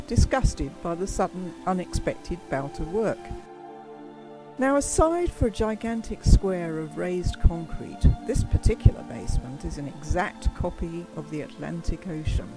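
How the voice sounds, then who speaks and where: A woman's voice: lowish voice, low-quality recording. A woman narrating a comic science-fiction radio drama from a script, in a dry storytelling manner.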